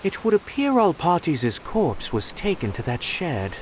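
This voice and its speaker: An actor playing a courteous, composed, analytical male detective in a video game, with formal, deliberate phrasing. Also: a little high, wildly swooping pitch, slight background noise. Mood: measured, courteous.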